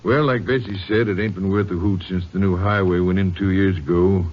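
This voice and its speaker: A man's voice: deep voice, fairly even intonation, a little fast, lightly acted. A man playing a folksy, evasive motel owner. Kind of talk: 1950s radio acting. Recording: dull 1950s radio broadcast, clear hiss.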